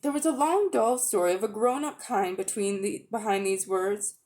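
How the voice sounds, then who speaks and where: A woman narrating a children's novel as a dramatic, expressive audiobook reading. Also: medium pitch, normal speed. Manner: expressive, storytelling delivery. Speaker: audiobook reader.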